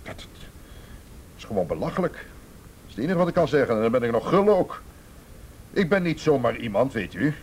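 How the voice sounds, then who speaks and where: Blustering, defensive businessman, very animated. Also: mid-range voice, fairly quick, pauses often, breathy edge. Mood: indignant. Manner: indignant, evasive, stammering under pressure. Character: defensive, blustering businessman, a stepfather accused by police. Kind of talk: naturalistic radio play acting.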